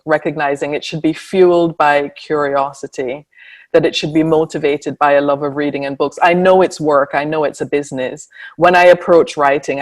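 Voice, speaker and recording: A woman's voice: sits low, far from the mic. A woman writer giving an earnest, thoughtful answer on a webinar panel in long, building sentences.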